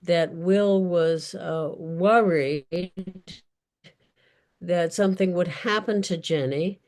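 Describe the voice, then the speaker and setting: A woman's voice: lowish voice, slow pace, a little mellow. A woman author answering audience questions in an unscripted discussion, speaking carefully and often searching for her words. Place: virtual event.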